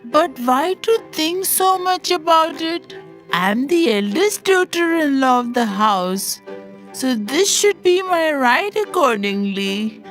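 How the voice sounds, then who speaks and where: A woman's voice: high voice, lively intonation, takes it slowly, fairly bright tone, full character voice, rough recording. A woman playing a lazy, entitled, complaining eldest daughter-in-law in a cartoon moral story. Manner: complaining, self-important. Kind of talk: animated moral story dialogue. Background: music.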